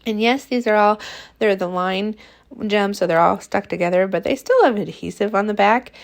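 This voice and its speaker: A woman in her thirties, hoarse and breathy while recovering from illness, chatting casually as she makes a card and laughing often. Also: medium pitch, normal speed. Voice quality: croaky and breathy. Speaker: card-making crafter. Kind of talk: casual crafting vlog.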